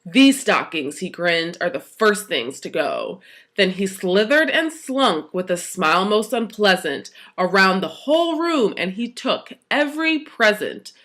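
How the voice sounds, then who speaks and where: Very lively woman reading a story slowly. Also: medium pitch.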